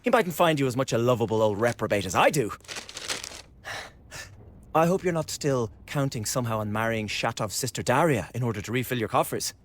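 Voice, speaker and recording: An actor playing a spiteful, mocking young revolutionary son in a radio drama, sneering and taunting. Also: male voice, medium pitch, expressive, fairly quick, slight background noise, natural voice.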